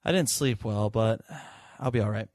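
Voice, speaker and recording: A man's voice: fairly low pitch, moderate pace, a little mellow, no room sound, close to an everyday voice. A man playing a tired, idealistic and hot-headed police detective in a sci-fi audio drama, wry and insistent. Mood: tired, evasive. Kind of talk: hard-boiled sci-fi audio drama acting.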